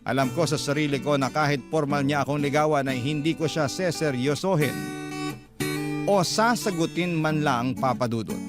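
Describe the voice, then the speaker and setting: A man's voice: a little high, moderate pace. A man narrating a listener's letter in the first person, in a confiding storytelling manner. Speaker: letter reader. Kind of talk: reading a listener's letter aloud as radio drama narration. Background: loud guitar music.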